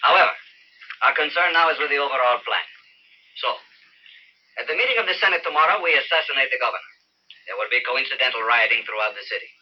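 A man's voice: medium pitch, fast, pauses often, lightly acted. An actor playing a ruthless male political plotter in an old radio thriller, formal and coolly authoritative. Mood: coolly commanding. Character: smooth-talking, ruthless male political plotter, the boss villain. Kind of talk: old-time radio adventure drama acting. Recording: dull, distant vintage radio with hiss.

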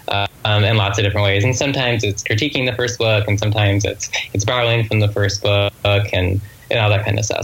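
An author speaking as an interview guest, thoughtful and halting, often reworking phrases in a reflective conversational way. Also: medium pitch, a bit bright, low-quality recording.

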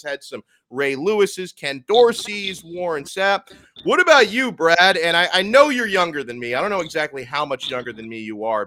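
A man in his thirties hosting a sports podcast in a casual, energetic chatty way. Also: a little high, no echo. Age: 30s. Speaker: podcast host.

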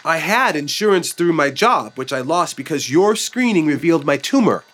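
A man's voice: on the high side, expressive, moderate pace, a bit bright. A man playing a meek, bewildered everyman in an audio comedy drama, polite, hesitant and pleading. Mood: dismayed.

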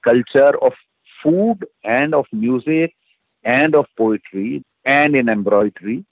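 A man speaks fervently but in measured phrases, his voice at a middling pitch. He comes through a tinny, phone-like line. The sound is dry, with faint radio-like coloring. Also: no room sound. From India. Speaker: filmmaker and writer interviewed.

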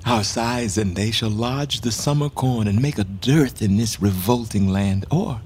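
A man's voice: on the low side, measured pace, darkish tone, a little hiss or hum, lightly acted. A man playing a proud, doomed king in a Shakespeare radio play, mocking and wry, dropping to whispers and flaring up. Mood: mournful, mocking. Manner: mocking, wry, swings between whispers and outbursts. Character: doomed proud male king, bitter and theatrical.

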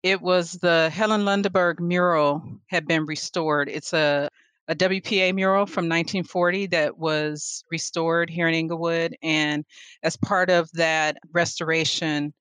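A woman's voice: sits low, a little slow, clear, resonant tone, dry recording. Laughing woman in her forties. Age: 40s. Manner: animated storyteller, laughs often. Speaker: guest, photographer and artist. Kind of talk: casual interview conversation.

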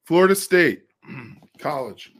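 A man with a deep voice, joining a casual sports podcast over a video call, easygoing and relaxed. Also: slow, gravelly. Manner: laid-back, easygoing, says "man" and "dude". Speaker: guest co-host.

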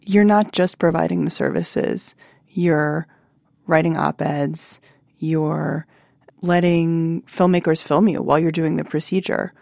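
A woman's voice: sits low, steady tone, slow, vocal fry, mellow, no room sound, studio quality. An American woman asking conversational questions as an interviewer.

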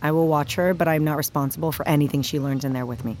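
A woman's voice: sits low, fairly even intonation, quick pace, a little mellow, a little hiss or hum, natural voice. An actress playing a woman operator who works as a sweet, attentive virtual assistant in an audio drama. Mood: brisk, wry.

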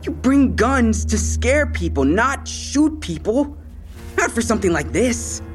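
A wary young street robber over music. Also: male voice, very high-pitched, sing-song, unhurried, exaggerated voice. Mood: agitated, defensive. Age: young adult. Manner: slangy, clipped, defensive. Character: wary, defensive young male street robber seeking protection. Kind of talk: audio drama acting. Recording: music bed behind the voice.

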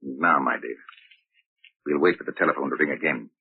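A man's voice: extremely low pitch, a little fast, vocal fry, slightly rough, exaggerated voice. An actor playing a bitter, controlling older father in an old-time radio drama, speaking formally with silky, condescending menace. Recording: muffled, lo-fi vintage radio recording.

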